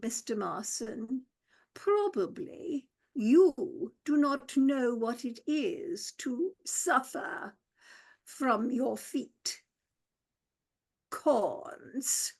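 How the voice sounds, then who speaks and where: A woman playing a pompous, slow-moving male butler in a radio play, solemnly complaining of his aches. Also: a little high, creaky, heavily acted. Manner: ponderous, solemn, complaining about ailments.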